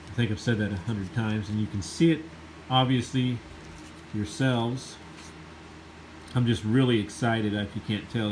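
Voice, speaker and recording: A man's voice: lowish voice, noisy background. A man with a slight cold, sniffling a little, giving an enthusiastic, rambling casual review of a Bible.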